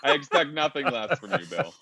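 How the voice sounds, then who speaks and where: A man's voice: a little high, brisk, creaky, very noisy. A man hosting a casual panel discussion over a video call, chatty and rambling with many fillers. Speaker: panel host. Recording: dull lo-fi video call audio.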